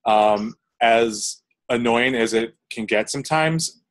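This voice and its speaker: A man's voice: mid-range voice, slow pace, low-quality recording. Rambling young man with frequent restarts. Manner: rambling, many restarts and fillers. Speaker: composer interviewee. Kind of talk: casual interview answers.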